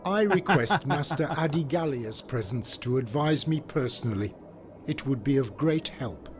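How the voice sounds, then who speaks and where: A man playing a worried, formal senator in a comic dub, speaking in a measured way over faint music. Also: mid-range voice, lively intonation, lightly acted. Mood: concerned, formal.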